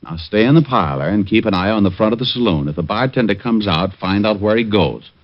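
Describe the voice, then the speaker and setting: An actor playing a tough, wisecracking private detective in an old-time radio drama, flippant and hard-boiled. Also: male voice, on the low side, animated, brisk, lightly acted. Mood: businesslike. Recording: dull vintage radio recording.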